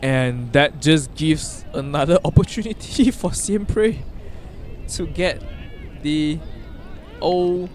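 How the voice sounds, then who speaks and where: A man doing live play-by-play commentary at an outdoor field, given to sudden loud exclamations. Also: fairly high pitch, slow pace, long pauses, slight background noise. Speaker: sports commentator.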